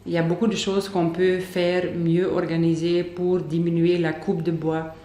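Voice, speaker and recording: Even-toned woman in an echoey room. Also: fairly low pitch, moderate pace. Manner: hesitant, searching for words. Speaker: interviewee. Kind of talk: spontaneous interview answer. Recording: roomy echo on interview audio.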